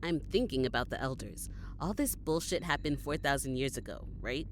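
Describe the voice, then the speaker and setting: An actress plays a sceptical, foul-mouthed female companion in a fantasy audio drama. Her voice is low and moves widely in pitch. She is blunt and sardonic, over faint background noise. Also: animated, normal speed. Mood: suspicious.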